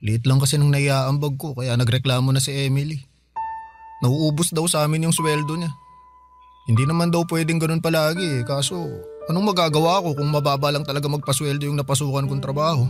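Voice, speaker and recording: An actor playing a hard-up, stubborn young brother in a Filipino radio drama, pleading and defensive in casual everyday speech. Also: male voice, fairly high pitch, little pitch movement, fairly quick, close to an everyday voice. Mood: dejected. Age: young adult. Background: music bed.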